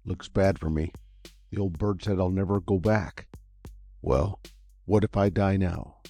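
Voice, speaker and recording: A man voicing a blunt, tough young male adventurer who speaks gruffly and with exasperation. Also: low voice, fairly even intonation, measured pace, dark tone, faint music behind. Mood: grim.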